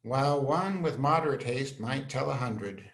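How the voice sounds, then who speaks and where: An earnest young scholar and loyal friend to a prince, read by an amateur male actor, speaking in a mid-range voice. He delivers Shakespearean verse in a measured, respectful way, as in a staged reading. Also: mid-range voice, animated, close to an everyday voice. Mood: earnest, assured. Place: indoors, on a video call. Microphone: video call.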